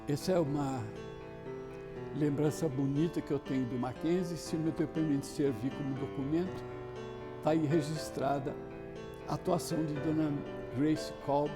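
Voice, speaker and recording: A Brazilian man recalling memories in an interview, unhurried and reflective, pausing as he goes. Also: a little high, fairly even intonation, over music. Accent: Brazilian Portuguese. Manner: unhurried, reflective, with pauses and restarts. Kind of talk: reminiscing testimony.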